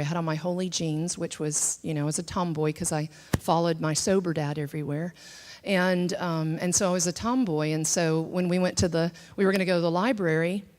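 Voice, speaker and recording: A middle-aged woman from the southern United States gives a conversational church teaching and shares her testimony, with many fillers and restarts. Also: on the low side. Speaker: speaker at a church teaching session. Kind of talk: teaching talk mixed with personal testimony.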